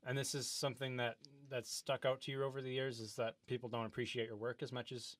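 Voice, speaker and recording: A man's voice: medium pitch, level tone, quick pace, fairly bright tone, no echo, clean studio sound. A man hosting an improvised comedy talk-show interview, speaking in a hesitant, earnest, wide-eyed way.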